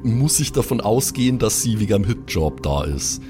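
A man's voice: lowish voice, very animated, fairly quick, over music. A man talking casually as a player describing his moves in an improvised role-playing game.

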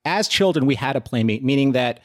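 A man's voice: mid-range voice, lively intonation. Earnest man in reflective discussion. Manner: earnest, philosophical, measured phrasing. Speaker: podcast panelist.

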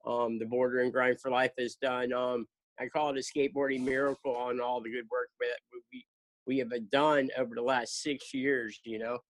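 A man's voice: medium pitch, little pitch movement, moderate pace, low-quality recording. A man in his fifties, a guest in a casual interview, telling his story in an earnest, chatty way. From southern United States. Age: 50s. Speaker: guest, charity founder.

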